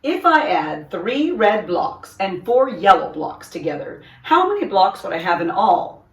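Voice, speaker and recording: Woman as male teacher, storybook, firm, kind, measured, questioning, echoey room. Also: medium pitch, very animated. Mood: instructive. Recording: roomy echo, distant microphone.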